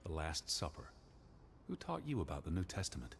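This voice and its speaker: An actor playing a stern, learned 1920s American naturalist in a video game, earnest and skeptical, speaking precisely and formally. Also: male voice, extremely low pitch, wildly swooping pitch, a little fast, vocal fry, a little hiss or hum, full character voice. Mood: disapproving.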